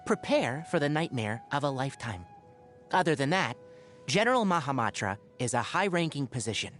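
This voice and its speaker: A man voicing a young fox-eared forest ranger in a video game, a scholarly mentor who talks in an articulate, wry, gently lecturing way. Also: a little high. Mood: wry caution. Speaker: video game character voice actor. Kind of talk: game character voice lines, conversational monologue. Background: background music.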